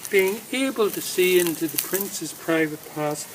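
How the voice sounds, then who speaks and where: A man reading a story aloud outdoors in a dramatic, deliberate manner, with emphatic phrasing and pauses. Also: high voice, poor audio. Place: outdoors in woodland. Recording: faint outdoor background noise. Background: faint woodland ambience.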